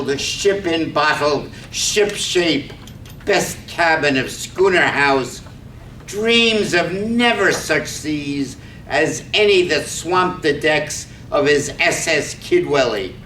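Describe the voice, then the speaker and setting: A man narrating a poetic play from a script, deliberately, phrase by phrase. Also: bright, forceful tone. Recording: roomy, far mic, faint rumble.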